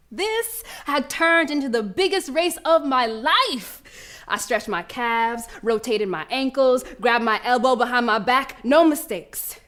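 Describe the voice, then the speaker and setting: A woman's voice: a little high, fairly bright tone. A woman playing a proud young schoolgirl runner in an audio drama monologue, telling her story in colloquial, emphatic speech with dramatic repetition. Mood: eager, confident. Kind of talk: dramatic first-person monologue, audio play.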